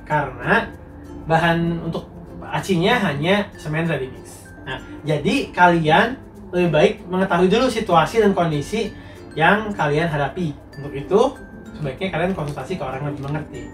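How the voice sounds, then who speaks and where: A man's voice: a little high, moderate pace. A young man with a congested, nasal voice from a cold, casually answering viewers' questions about building materials as a contractor. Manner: slangy, explanatory, reads out viewer questions. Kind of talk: casual Q&A monologue. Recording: roomy, mic at a distance, faint music.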